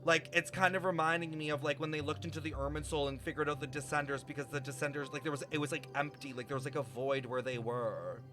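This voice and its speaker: A man with a fairly high voice streams a story game. He reads text aloud and reacts as he goes, animated and puzzled, with music playing behind him. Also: fast talker, few pauses.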